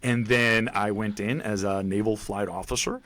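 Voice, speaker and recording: Low-voiced Puerto Rican man, dry sound. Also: moderate pace, no echo. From Puerto Rico. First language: Spanish. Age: middle-aged. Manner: animated, emphatic storytelling. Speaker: guest. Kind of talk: casual storytelling interview.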